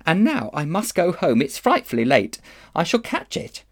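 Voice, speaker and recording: High-pitched storybook schoolgirl, done by a man, prim, indignant, sarcastic, very animated. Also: moderate pace, full character voice. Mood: flustered. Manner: cool, sarcastic. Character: prim, indignant, sharp-tongued young girl. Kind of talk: audiobook character acting.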